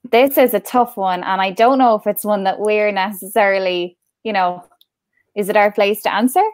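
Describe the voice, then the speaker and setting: A woman co-hosting a live Q&A, friendly and quick to laugh while reading out viewer questions. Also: medium pitch, fairly even intonation, dry recording. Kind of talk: live stream Q&A.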